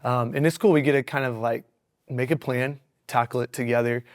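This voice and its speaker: A young man talks in a relaxed, upbeat way, his voice hoarse and raspy from a weekend of yelling. He keeps the tone casual and full of little fillers, in a middle register. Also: mid-range voice, a little fast. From Missouri Ozarks. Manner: upbeat, animated, many fillers. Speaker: dentist guest. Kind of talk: casual interview.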